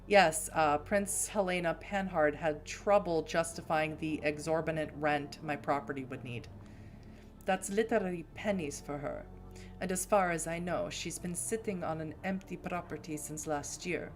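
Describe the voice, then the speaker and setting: A woman's voice: low-pitched, normal speed, soft background music, lightly acted. A woman voicing a composed, formal aristocratic woman from Prague, with a made-up Czech accent.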